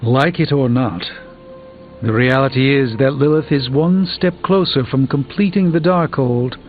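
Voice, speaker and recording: Game role: man playing a proud, defeated sorcerer, formal and grave, mid pitch, narrow-band audio. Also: measured pace, lightly acted. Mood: grave, resolute. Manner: formal, solemn, eloquent. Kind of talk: video game voice acting. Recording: tinny band-limited game audio with music.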